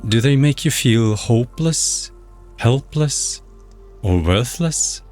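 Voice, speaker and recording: A man narrating a guided meditation in a measured, instructional, scripted way. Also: mid-range voice, animated, darkish tone. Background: background music.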